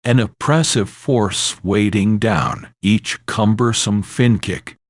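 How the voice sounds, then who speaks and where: A male narrator delivers scripted horror in a low voice with an unhurried, very slow pace. His delivery is ominous and dramatic, with lively swings in pitch. The sound is close and dry. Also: no room sound.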